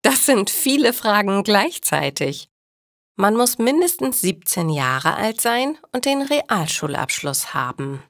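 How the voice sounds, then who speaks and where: A dedicated, friendly midwife aunt as a female narrator reads her in a learners' storybook dialogue. She explains things slowly, with expressive pitch movement. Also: dry recording, clean studio sound. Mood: informative. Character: dedicated adult midwife, friendly aunt who loves work.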